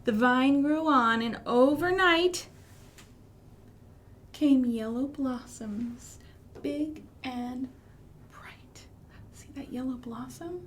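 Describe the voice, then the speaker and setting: An American woman leading a storytime for young children in an expressive, sing-song way, with gasps and hushed emphasis. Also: high voice, extremely slow, long pauses, a little hiss or hum. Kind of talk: children's storytime with singing, rhymes and asides.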